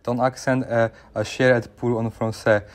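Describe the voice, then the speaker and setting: A man voicing a snooty male viewer who drawls his scorn in a flat tone. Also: mid-range voice, measured pace, lightly acted. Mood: scornful.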